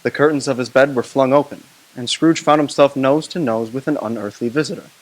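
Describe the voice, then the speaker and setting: A man narrating a classic ghost story in an expressive, hushed manner. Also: mid-range voice, a little fast, poor audio.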